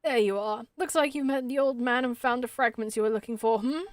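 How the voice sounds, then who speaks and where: A grumbling little forest spirit from a game, acted by a woman. The voice is high, with an aloof and dismissive delivery. It sounds close and dry, with no echo. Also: fairly even intonation, fairly quick, natural voice. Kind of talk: voiced game quest dialogue read.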